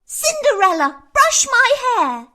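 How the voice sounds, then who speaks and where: A woman's voice: very high voice, very animated, takes it slowly, bright, forceful tone, full character voice. A woman voicing a vain, bossy young stepsister in a children's fairy tale, with a haughty, demanding manner.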